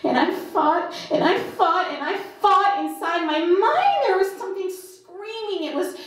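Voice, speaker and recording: A woman performing a first-person dramatic monologue at a live reading, in short, deliberate, halting phrases with emphatic repetition. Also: extremely high pitch, expressive. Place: indoor live performance in a club. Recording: very echoey live venue.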